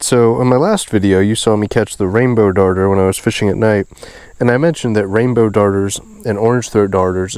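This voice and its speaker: Male outdoor vlog host, lowish pitch, nasal and stuffed-up, casual enthusiast delivery. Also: a little mellow, dry recording. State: congested and sniffly. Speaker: host of a fishing video series. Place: outdoors beside a small creek.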